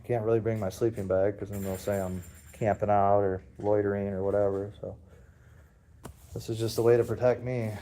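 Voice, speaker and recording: A man, livestreaming casual commentary in a public building, rambling and talking straight to his viewers. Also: mellow. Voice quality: deep. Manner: rambling, defiant, addresses viewers directly.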